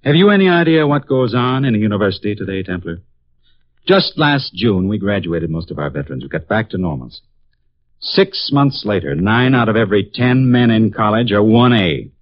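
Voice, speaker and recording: An actor playing a brooding, cynical young psychology professor in a 1950s radio drama, speaking in a somber way. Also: male voice, on the low side, big pitch swings, fairly quick, no echo. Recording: dull lo-fi 1950s radio broadcast.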